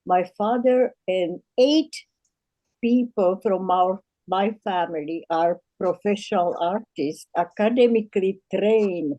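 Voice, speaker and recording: An older woman from Slovakia with a Slovak accent, telling her personal story as an artist in an earnest, measured way. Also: mid-range voice, mellow, no room sound, dull audio. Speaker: self-taught artist.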